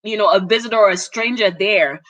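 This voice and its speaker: A woman's voice: medium pitch, a little fast, fairly bright tone, lo-fi sound. A woman hosting a casual live interview, talkative and informal, addressing her guest and viewers directly. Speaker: host.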